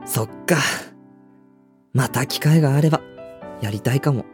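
An actor playing a reserved, understated teenage high school boy who used to dance ballet, in a radio drama with dialogue and narration. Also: moderate pace, a little mellow, faint music behind, natural voice. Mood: lighter, curious.

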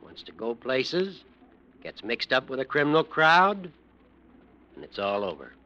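A stern trial judge, formal and paternal in an old radio play. His voice is very bright and forceful at a medium pitch, with deliberate phrasing. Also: big pitch swings, lo-fi sound. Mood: concerned. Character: stern, fatherly trial judge cautioning a young lawyer. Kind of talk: 1940s radio drama acting.

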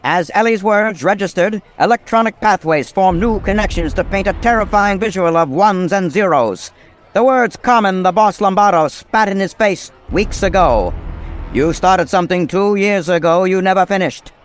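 An actor playing a grandiose, melodramatic narrator of an old-time pulp hero radio serial. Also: male voice, sits high, normal speed, a little hiss or hum, close to an everyday voice. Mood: ominous. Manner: dramatic, grandiose.

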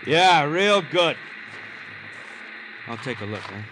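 An actor playing a matter-of-fact, plain-spoken American police sidekick in a vintage radio crime drama. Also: male voice, a little high, big pitch swings, slow, fairly bright tone, full character voice. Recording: noisy old radio, street sound effects.

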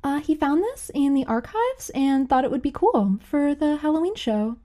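A woman's voice: high voice, animated, normal speed, warm tone. An actress playing a giggly young woman at a party, hesitant and full of ums. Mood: sheepish. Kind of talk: audio drama acting.

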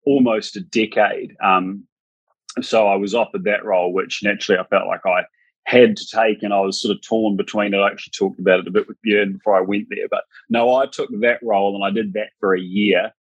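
A man in his thirties with a New Zealand accent, a guest on a podcast, talking in a relaxed, chatty way. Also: fairly low pitch, brisk, husky, no echo, muffled recording. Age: 30s. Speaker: racehorse trainer guest. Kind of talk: podcast interview.

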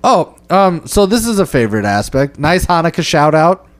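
Chatty man in his thirties, lively pitch. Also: normal speed. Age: 30s. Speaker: podcast co-host. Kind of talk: casual chat.

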